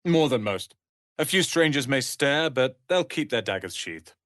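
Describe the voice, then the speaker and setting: A man voicing a proud, sharp-tongued young wizard's apprentice and protective brother in a fantasy video game, articulate and theatrical. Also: mid-range voice, lively intonation, normal speed, no room sound, studio quality, close to an everyday voice. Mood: haughty, composed.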